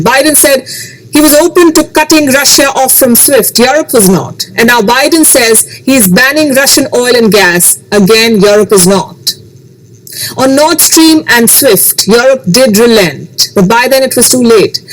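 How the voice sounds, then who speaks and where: A woman hosting a scripted news commentary, speaking emphatically with rhetorical questions and dramatic pauses. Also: high voice, a little hiss or hum, rough recording.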